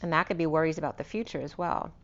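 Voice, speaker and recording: A woman with a hoarse, fading voice giving an unscripted spiritual tarot reading, meandering and correcting herself as she lists cards and numbers. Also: deep voice, rapid-fire, dull audio. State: losing her voice. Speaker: tarot reader.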